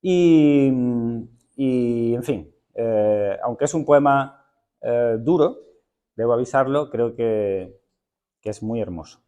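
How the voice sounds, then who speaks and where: A man introducing and reading a poem aloud at a literary event, speaking in a thoughtful, unhurried way with some hesitant fillers. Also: mid-range voice, warm tone, clear, resonant tone. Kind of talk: poetry reading with spoken introduction.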